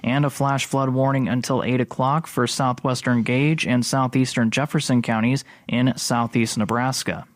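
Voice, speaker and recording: A man's voice: mid-range voice, steady tone, moderate pace, hardly any pauses, no echo. A male radio announcer reading out official weather warnings in a methodical, matter-of-fact way. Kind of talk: read-out list of official warnings.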